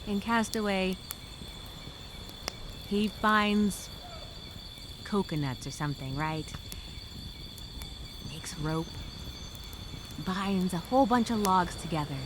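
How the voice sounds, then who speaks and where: A woman performing a stranded castaway speaking a journal aloud. She is wry and weary, with a sarcastic streak of hope. Crickets and insects chirp clearly behind her. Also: medium pitch, lively intonation, takes it slowly, long pauses, background noise. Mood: weary musing. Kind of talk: dramatic first-person journal monologue.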